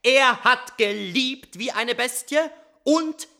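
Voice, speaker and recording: A man's voice: very high voice, takes it slowly, bright, forceful tone, full character voice. A man acting in a German radio drama as a pompous prosecutor, declaiming emphatically to a jury. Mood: fierce.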